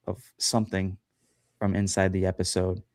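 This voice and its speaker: A man's voice: deep voice, completely flat delivery, moderate pace, darkish tone, dry recording, clean studio sound. A man chatting as a guest on a livestream podcast, talking loosely with lots of fillers and self-deprecating asides. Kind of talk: casual chat on a livestream.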